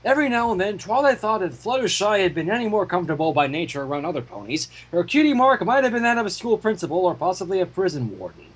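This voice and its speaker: A man with a husky voice reading a story aloud as narrator, in scripted prose. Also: high voice, sing-song, quick pace, few pauses, low-quality recording. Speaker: narrator and fan fiction reader.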